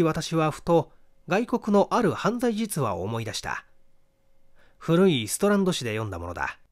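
A man giving a measured, expressive reading of a literary detective story as narrator. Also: on the high side. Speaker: audiobook reader, stage actor.

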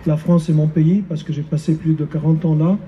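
An older man from Egypt giving an emphatic speech at an outdoor street rally into a handheld mic, often pausing on fillers. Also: fairly high pitch, flat delivery, lo-fi sound, a little hiss or hum. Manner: emphatic, hesitant with many fillers. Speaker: rally speaker. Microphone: handheld microphone.

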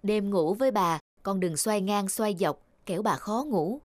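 A woman playing a caring, firm mother who instructs her child in an animated film. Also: medium pitch, unhurried, no room sound, studio quality, lightly acted. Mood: gently admonishing. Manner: instructive, admonishing. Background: music.

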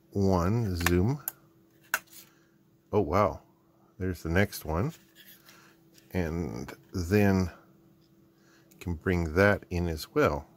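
A man's voice: sits low, breathy edge. A middle-aged man giving casual unboxing commentary, rambling and thinking aloud as he goes. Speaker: host. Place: indoor hobby workbench.

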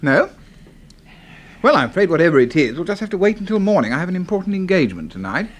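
A pompous, deranged brigadier with an English accent. His fairly high voice rises and falls dramatically, clipped and used to obedience, in the manner of 1970s radio horror. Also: sing-song, fast. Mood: brusque, puzzled. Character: pompous, deranged brigadier boss, secretly a serial killer.

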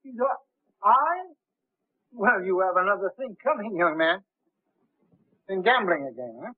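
High-voiced, haughty 1930s film gentleman. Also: very animated, slightly rough, dry recording, heavily acted. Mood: smug, mocking. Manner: haughty, sarcastic drawn-out delivery. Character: smug, mocking man refusing a loan. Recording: tinny 1930s film soundtrack.

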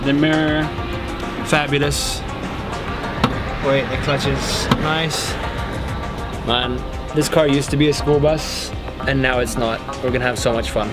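A man's voice: mid-range voice, steady tone, measured pace, long pauses, over loud music. A young man filming himself with a handheld camera inside a car, chatting casually and joking on a road trip vlog. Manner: upbeat, joking, laughs often. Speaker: vlogger. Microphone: handheld action camera at arm's length.

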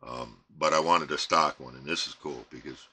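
A middle-aged American man giving a casual, unscripted show-and-tell, rambling and halting as he trails off. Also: very deep voice, bright, forceful tone. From United States. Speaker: CB radio hobbyist presenting his equipment. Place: indoor radio bench crowded with equipment. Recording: clear background noise, room ambience.

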